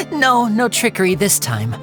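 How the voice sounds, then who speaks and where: A woman's voice: on the high side, heavily acted. A woman voicing a suave, playful young male stage magician in a video game, theatrical and teasing. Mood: modest, amused.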